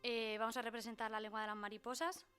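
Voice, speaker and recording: Bright-voiced woman presenter with music. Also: fairly high pitch, flat delivery, fast. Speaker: presenter introducing a live radio play. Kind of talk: welcome announcement to an audience. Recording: music behind the voice.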